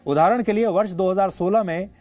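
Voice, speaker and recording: A male news anchor with an Indian accent delivering opinionated commentary in a deliberate, emphatic way with rhetorical repetition. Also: sits high, a little mellow, dry recording. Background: background music.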